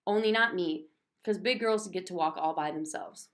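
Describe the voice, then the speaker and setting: A woman's voice: fairly low pitch, moderate pace. A woman reading a children's book aloud expressively, narrating in a child's first person.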